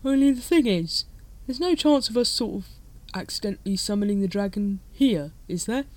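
A woman's voice: medium pitch, expressive, normal speed, darkish tone, no echo, pitched above the performer's own voice, natural voice. Woman as a nervous, hesitant cult brother. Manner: hesitant, anxious.

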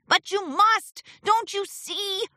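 Woman doing a grumbling, high-pitched male pony businessman. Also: very animated, normal speed, bright, forceful tone, no room sound, clean studio sound, heavily acted. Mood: frustrated, pleading. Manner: grumbling, insistent.

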